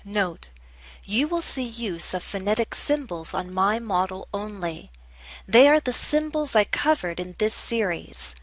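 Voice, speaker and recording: An American woman teaching English pronunciation, speaking in a measured, clear way with a General American accent. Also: mid-range voice, expressive, faint music behind, rough recording. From United States. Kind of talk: scripted lesson narration.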